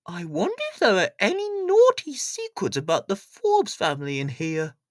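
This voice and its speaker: A woman voicing an excitable, gushing country village lady in a children's storybook. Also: lowish voice, moderate pace, dry recording, studio quality, pitched above the performer's own voice. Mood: curious, sly. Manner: gushing, theatrical.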